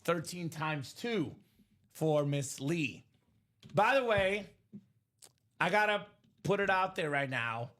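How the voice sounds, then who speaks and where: A young man from Bulgaria hosting a live sports card break stream, upbeat and joking, shouting out buyers by name. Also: on the high side, lively intonation, takes it slowly, pauses often. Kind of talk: casual live-stream sales chat.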